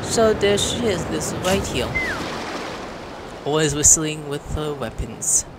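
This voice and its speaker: A woman streaming a video game with casual commentary, playful and excitable, given to exclaiming. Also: low-pitched, slow pace, very noisy. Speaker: gaming streamer. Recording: loud game music behind. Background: video game music and sounds.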